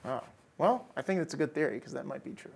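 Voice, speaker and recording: A man's voice: a little high, fast talker, vocal fry, slight background noise. A young man hosting a campus TV studio interview, bubbly and playfully hammy. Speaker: student host.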